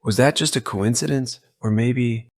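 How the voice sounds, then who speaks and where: An actor playing a lovelorn office worker around thirty in a manga dub, brooding and tentative, with a gravelly voice. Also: male voice, mid-range voice, moderate pace, dry recording, studio quality, close to an everyday voice. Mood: hesitant, wondering. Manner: brooding, tentative self-questioning. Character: lovelorn, hesitant office worker in his late twenties. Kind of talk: anime-style dub inner monologue.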